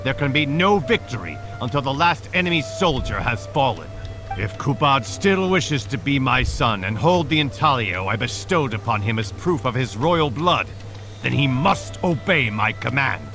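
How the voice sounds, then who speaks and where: A man's voice: mid-range voice, very animated, moderate pace. An actor playing a wrathful, fanatical king in a video game's stage play, speaking in a solemn, imperious, declamatory way. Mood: stern, zealous. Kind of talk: theatrical stage-play acting in a video game. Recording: music behind the voice.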